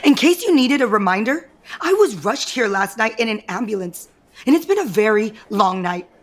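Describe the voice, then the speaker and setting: An actress playing a proud, bitter, scheming rich wife in an audio soap opera, haughty and cutting. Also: female voice, a little high, very animated, moderate pace. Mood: curt, irritated.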